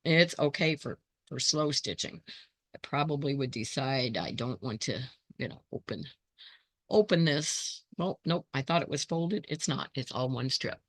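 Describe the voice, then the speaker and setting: A hoarse woman hosting her quilting channel, thinking aloud in casual chat as she sorts scrap fabric and greets viewers by name. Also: rumbling low, animated, a little slow, no echo, clean studio sound.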